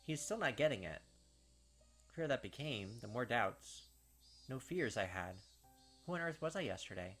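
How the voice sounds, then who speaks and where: A man's voice: mid-range voice, lively intonation, normal speed, long pauses, fairly bright tone, soft background music. A man reading visual novel text aloud as a let's-play host, dropping casual, slangy asides along the way.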